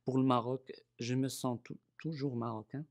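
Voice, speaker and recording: A man's voice: medium pitch, fairly even intonation, normal speed. Hesitant man with a Moroccan accent. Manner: hesitant, repeats words while searching. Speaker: oral history interviewee. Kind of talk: recorded personal interview.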